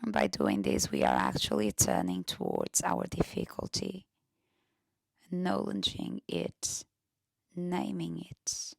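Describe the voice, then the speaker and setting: Female meditation guide: lowish, very gravelly fry, gentle and hushed delivery, recorded close and dry. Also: huge pitch swings, takes it slowly, no echo.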